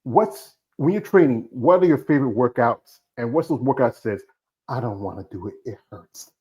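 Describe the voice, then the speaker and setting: A man's voice: medium pitch, animated, normal speed, darkish tone. A man hosting a casual interview who asks rambling, enthusiastic questions. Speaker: interview host. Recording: slightly dull, lo-fi audio.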